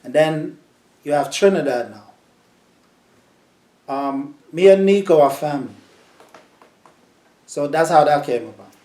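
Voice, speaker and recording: Man, mellow voice, laid-back storytelling, roomy echo. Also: a little high, a little slow, pauses often. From New York. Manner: relaxed, storytelling, repeats words. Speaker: sound system selector. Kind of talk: casual interview answers.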